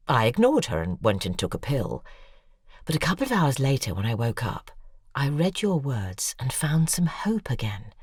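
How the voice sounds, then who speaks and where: A commanding, embittered older female author in an audio drama. Her voice is very deep for a woman and moves expressively up and down. She speaks theatrically and insistently, recorded close and dry. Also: a little mellow, husky, no echo, exaggerated voice. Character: bitter, imperious older woman, a famous novelist confessing.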